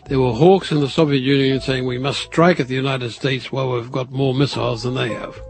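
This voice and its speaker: Guy explaining history for a documentary, mid voice, deliberate and emphatic, faint music behind. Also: mid-range voice, rough recording. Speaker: documentary contributor.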